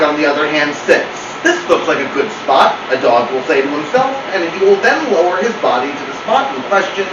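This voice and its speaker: A man narrating a children's storybook as the host of a reading session. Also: fairly high pitch. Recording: roomy, distant mic, clear noise.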